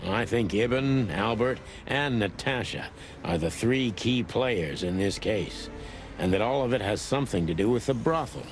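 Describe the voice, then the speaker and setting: A man's voice: fairly low pitch, animated, normal speed, faint music behind, lightly acted. A man playing a weary old rooster detective in a film noir game, terse, brooding and world-weary. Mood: serious. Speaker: narrator and lead detective. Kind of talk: hardboiled film noir voice acting.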